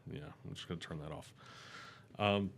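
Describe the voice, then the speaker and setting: A young adult man with a loud, carrying voice, telling stories casually and animatedly as a podcast guest. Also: normal speed. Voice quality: loud voice that carries. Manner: animated, rising in pitch when excited. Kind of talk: casual storytelling interview.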